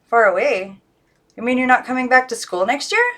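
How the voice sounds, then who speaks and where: A woman's voice: a little high, fairly quick, fairly bright tone, pitched above the performer's own voice, lightly acted. A woman voicing an inquisitive schoolgirl in an eager, questioning way for a storybook. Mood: curious, surprised. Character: Barbara, inquisitive schoolgirl saying goodbye.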